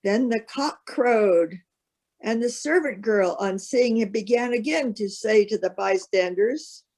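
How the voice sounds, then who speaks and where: A woman narrating a solemn Bible reading as a scripted piece, with measured, careful phrasing. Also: a little high, animated, darkish tone.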